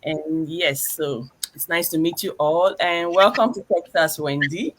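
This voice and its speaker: A woman from Nigeria introducing herself in a friendly, measured way on a live video chat. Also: fairly low pitch, a bit bright, poor audio. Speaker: guest.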